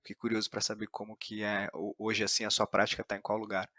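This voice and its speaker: A man's voice: on the low side, completely flat delivery, quick pace, sharp tone, no room sound, clean studio sound. A man with a Brazilian accent, a podcast host speaking reflectively and thoughtfully as he narrates and interviews.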